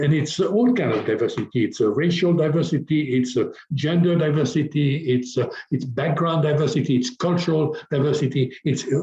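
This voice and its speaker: A man's voice: mid-range voice, mellow, echoey room, lo-fi sound. A middle-aged man from France, a business coach speaking as a guest in an interview, talking hesitantly with many restarts and fillers. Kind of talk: conversational interview.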